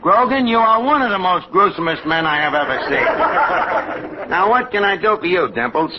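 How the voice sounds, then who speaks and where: An actor playing a wisecracking, uneducated tavern manager with a New York accent and a mock-genteel, sarcastic manner in 1940s radio comedy. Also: male voice, high voice, sing-song, normal speed. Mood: mock-formal. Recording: muffled, hissy old-time radio recording.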